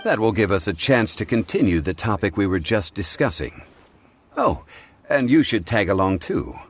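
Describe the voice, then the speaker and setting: An actor playing a calm, learned ancient man in a game, speaking in a measured, formal way. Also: fairly low pitch, slightly rough. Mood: composed. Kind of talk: game voice acting.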